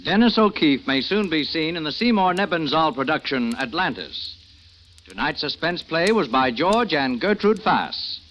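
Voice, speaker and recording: A man's voice: on the high side, big pitch swings, moderate pace, fairly bright tone, slight background noise. A man giving formal, declamatory closing announcements as a radio announcer on a dull old broadcast recording.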